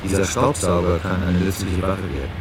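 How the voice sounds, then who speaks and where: An actor playing a sober engineer narrator in an experimental radio play, reciting disjointed statements matter-of-factly. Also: male voice, deep voice, steady tone, a little fast, noisy background, lightly acted. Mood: declarative.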